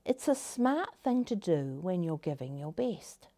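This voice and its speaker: A woman reading a children's story aloud in an expressive, dramatic manner, dropping into hushed tones for effect. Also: fairly low pitch, measured pace.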